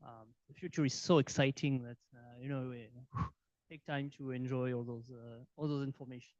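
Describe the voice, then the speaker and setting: A man's voice: mid-range voice, steady tone, dry recording. A man making brief hesitant remarks as session moderator. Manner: hesitant, halting. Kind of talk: casual remarks. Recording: dull, muffled, from off the mic.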